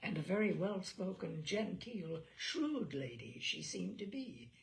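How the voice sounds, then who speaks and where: A woman's voice: fairly low pitch, expressive, a little slow, gravelly, slight background noise, low-quality recording. A woman voicing a fussy, talkative, deferential male lawyer in an audiobook of a classic novel, rambling eagerly and interrupting himself.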